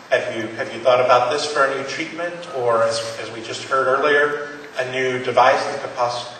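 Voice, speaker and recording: A man's voice: medium pitch, a bit bright. A man presenting at a conference to patients, earnest and encouraging, with frequent restarts. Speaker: pharmaceutical company presenter. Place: conference room. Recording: echoey hall from far off, faint noise.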